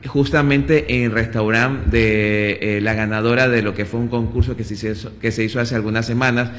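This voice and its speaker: A man with an Ecuadorian accent speaking conversationally as a guest in a radio interview. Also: medium pitch. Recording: roomy hall with long reverb.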